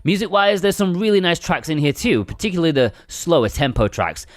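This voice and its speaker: A man's voice: a little high, a little fast, no echo, studio quality. A man narrating a scripted video game review in a conversational way, with wry asides.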